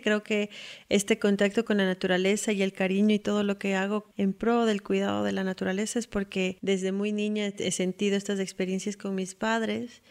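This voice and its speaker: A woman's voice: mid-range voice, clear, resonant tone. Reflective woman with a Quito accent. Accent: Ecuadorian Spanish, Quito. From Ecuador. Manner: reflective, unhurried, with hesitant fillers. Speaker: outdoor guide and tour operator founder. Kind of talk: personal self-introduction.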